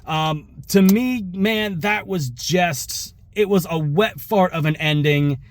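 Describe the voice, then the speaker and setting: A slightly hoarse man hosting a reaction vlog, talking casually to viewers in a rambling, conversational way with asides and self-corrections. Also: high-pitched, takes it slowly. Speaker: wrestling reaction show host. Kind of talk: casual opinion commentary to viewers.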